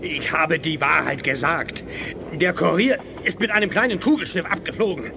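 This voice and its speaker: An actor playing a desperate, betrayed alien prisoner in a radio play, agitated and protesting. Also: male voice, fairly high pitch, normal speed, gravelly, background noise. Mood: strained, pleading. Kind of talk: 1980s German radio play acting.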